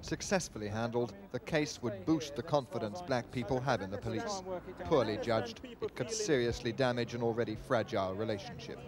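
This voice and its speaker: A man's voice: medium pitch, animated, brisk, few pauses, a loud crowd. A man reading a scripted television news report as a reporter. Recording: archive TV report with street hubbub.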